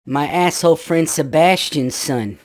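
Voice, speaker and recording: Man, pitched-up childlike voice effect, irritable, profane, dry close sound. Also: a little slow, no room sound. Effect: pitched-up voice changer, childlike. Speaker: guest storyteller. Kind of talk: casual chat.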